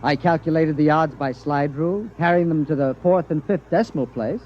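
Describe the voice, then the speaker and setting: An actor playing an earnest, naive, stubborn scientist with formal, precise academic phrasing in a 1950s radio drama. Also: male voice, a little high, brisk, close to an everyday voice. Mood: bewildered. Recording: dull, noisy vintage radio recording.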